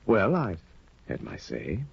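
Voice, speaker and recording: An actor playing a sly, scheming older judge in a 1950 radio drama, insinuating and hesitant, on a dull old broadcast recording. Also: male voice, deep voice, wildly swooping pitch, creaky, a little mellow, breathy edge, exaggerated voice. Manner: insinuating, hesitant with drawn-out ums. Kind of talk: 1950s radio drama acting.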